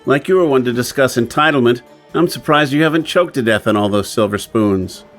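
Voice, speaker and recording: An actor playing a sardonic gentleman adventurer with a measured, deadpan manner in a radio drama. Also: mid-range voice, soft background music, lightly acted. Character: dry, sardonic gentleman adventurer, the professor's partner.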